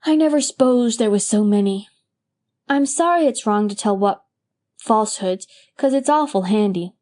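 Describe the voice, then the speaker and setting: A woman's voice: medium pitch, lively intonation, moderate pace, darkish tone, natural voice. A woman voicing a mischievous six-year-old boy who talks in a childish, colloquial way. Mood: curious.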